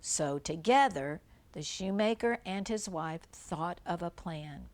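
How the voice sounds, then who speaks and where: Older woman reading a storybook, slow and expressive. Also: fairly low pitch. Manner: simple explanations, gentle questions to a young listener. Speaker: grandmother reading a storybook to children. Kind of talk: read-aloud children's storybook with chatty asides.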